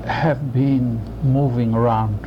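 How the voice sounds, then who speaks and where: A man in his sixties with a Thai accent, answering oral history questions in a halting way, with many fillers and restarts. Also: medium pitch, steady tone. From Thailand. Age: 60s. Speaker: interviewee. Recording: muffled noisy tape recording.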